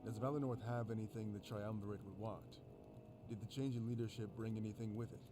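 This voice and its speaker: A man voicing a serious, cautious, protective male fighter in a fantasy visual novel, with clear noise behind him. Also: on the low side, fairly even intonation, fairly quick, lightly acted. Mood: grim, wary. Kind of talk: visual novel character acting. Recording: loud background noise and ambience.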